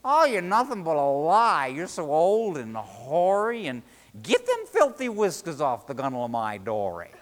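A man's voice: fairly high pitch, big pitch swings, unhurried, hardly any pauses, a bit bright, heavily acted. A man voicing a brash, scornful fisherman in a folk tale, blustering in salty rural talk. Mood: defiant, scornful. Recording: hall reverb, live-sounding room.

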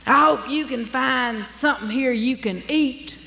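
A woman storyteller voicing an ornery country blacksmith, gruff and blunt, in an echoing hall. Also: high-pitched, unhurried, bright, forceful tone, slight background noise, full character voice. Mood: gruffly welcoming. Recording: echoey hall, long reverb.

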